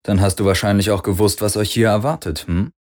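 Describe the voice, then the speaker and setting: A man's voice: lowish voice, fairly even intonation, a little fast, darkish tone, natural voice. A man voicing a smug, slyly genial antagonist in an audiobook, sardonic and probing, drawing out his words. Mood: smug, teasing. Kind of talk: audiobook character voice.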